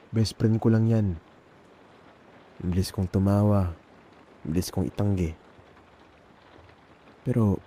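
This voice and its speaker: A young Filipino man with a lowish, very mellow, dark voice acts out an intimate ASMR roleplay. He plays an emotional best friend in love, speaking haltingly and colloquially, leaving pauses between phrases. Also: a little hiss or hum. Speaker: ASMR audio roleplay performer.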